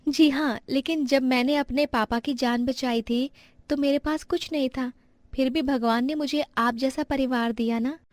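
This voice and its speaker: Earnest, gentle young daughter-in-law, played by a woman, very high pitch, dry recording, storybook drama. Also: steady tone, moderate pace, no echo, close to an everyday voice. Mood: gentle, sincere. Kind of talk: dramatized moral story acting.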